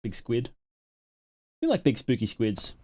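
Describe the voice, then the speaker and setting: A man's voice: medium pitch, huge pitch swings, measured pace, mellow. Casual man reacting on a livestream. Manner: muses aloud, reads viewer comments. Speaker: livestream host reacting to a horror series.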